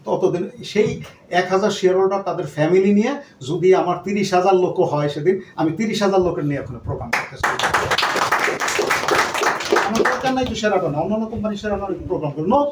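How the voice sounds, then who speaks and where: A man's voice: high voice, expressive, a little fast. A man speaking earnestly to a gathering of shareholders as their company leader, repeating himself and dropping in English words. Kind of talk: speech to a gathering. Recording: echoey room, distant mic, background noise.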